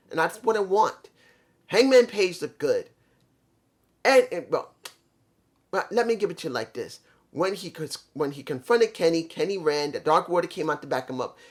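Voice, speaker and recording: Man, fairly high voice, casual show reviewer, rambling, self-correcting, trips over names. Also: expressive, moderate pace. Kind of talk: casual solo review talk.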